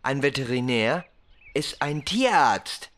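Cheerful talking smartphone, lively intonation. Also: female voice, very deep voice, slow, exaggerated voice. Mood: helpful, explaining. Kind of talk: children's cartoon dubbing.

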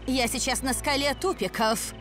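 Женщина озвучивает серьёзную, озабоченную женщину-профессора в детском мультфильме. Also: female voice, high voice, moderate pace, natural voice. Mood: earnest, concerned. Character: earnest female professor calling for help. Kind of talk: children's cartoon acting. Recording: music under the voice.

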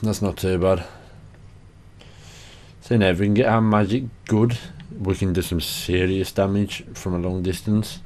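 A young man talks through his playthrough in a loose, sweary, self-mocking way. His voice sits low and dark. It has a slight rasp from a lingering cough, and he sounds worn out. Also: fairly even intonation, unhurried, a little hiss or hum. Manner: sweary, chatty, self-deprecating. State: tired and hoarse from a cough. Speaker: gaming channel host. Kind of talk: casual gameplay commentary.

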